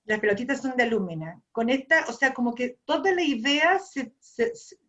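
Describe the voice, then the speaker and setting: A woman's voice: high-pitched, expressive, moderate pace, fairly bright tone. Reflective Argentine woman, slightly muffled. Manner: thinks aloud, repeats and restarts phrases. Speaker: ceramic artist guest. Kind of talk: reflective personal storytelling.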